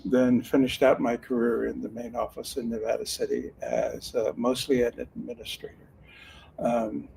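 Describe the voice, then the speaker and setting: A man's voice: mid-range voice, gravelly, dark tone, a little hiss or hum, low-quality recording. Older man, retired lawman turned card reader: earnest, folksy storyteller, mid-range voice. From Northern California. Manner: earnest, folksy, with frequent restarts. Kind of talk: casual storytelling talk.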